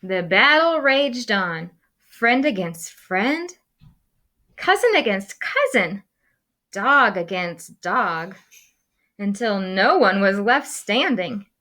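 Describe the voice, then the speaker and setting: A woman reading a children's storybook dramatically and expressively, with deliberate pauses and hushed moments. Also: mid-range voice, sing-song. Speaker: school librarian reading aloud.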